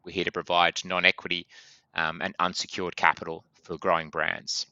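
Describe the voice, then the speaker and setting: A man with a strong Australian accent, a guest on a business podcast, explaining in a brisk way with many fillers. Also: low-pitched, level tone, bright, forceful tone, no room sound, highs cut off. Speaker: guest from a funding company. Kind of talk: podcast interview.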